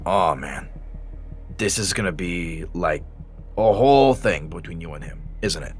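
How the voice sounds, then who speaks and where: Veteran male cop, played by an actor, middle-aged, very low register, cynical, wry, profane, for a crime audio drama. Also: animated, measured pace. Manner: wry, profane, world-weary. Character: weary, cynical veteran male police detective.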